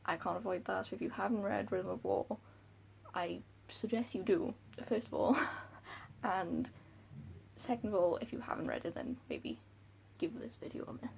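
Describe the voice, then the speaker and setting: A woman's voice: mid-range voice, moderate pace, creaky, darkish tone, a little hiss or hum. A young woman with a Welsh accent chatting to camera about books at home, giggly and self-deprecating. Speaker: book vlogger. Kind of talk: casual chat to camera. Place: room at home by a window.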